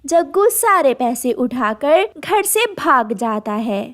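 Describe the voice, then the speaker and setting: Lady narrator for a kids cartoon story, high voice, reading from a script. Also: very animated, takes it slowly, fairly bright tone.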